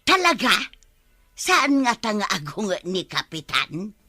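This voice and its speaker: A woman's voice: mid-range voice, very animated, a little slow, pushed and bright, dry recording, studio quality, full character voice. An actress playing a giggly, easily persuaded village woman in a radio comedy drama. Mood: amused, wavering. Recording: prominent music behind the voice.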